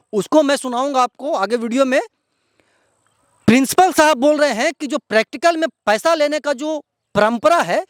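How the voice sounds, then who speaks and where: A man's voice: very high voice, no echo, studio quality. A man with a Bihari accent presenting outspoken local news commentary to viewers in an indignant, emphatic, repetitive way.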